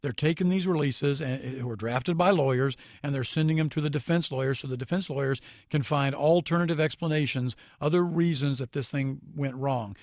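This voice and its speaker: American guy as a radio guest, slightly high voice, explaining stuff critically, dry studio-tight sound. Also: brisk, hardly any pauses, darkish tone, no room sound. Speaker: guest legal commentator on radio show. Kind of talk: radio interview commentary.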